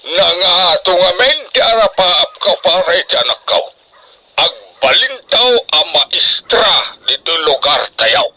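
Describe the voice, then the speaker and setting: A man's voice: high voice, sing-song, unhurried, sharp tone, full character voice, poor audio. An actor with a northern Philippine accent playing a worried, hardworking middle-aged father, strict but devoted, in a radio drama. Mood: earnest, devoted.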